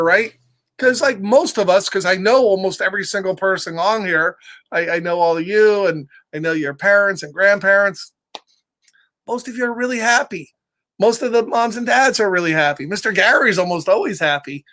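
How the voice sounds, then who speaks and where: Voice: mid-range voice, expressive, normal speed, a bit bright. A children's storyteller reading a picture book casually, in simple repeated phrases, checking in with listeners.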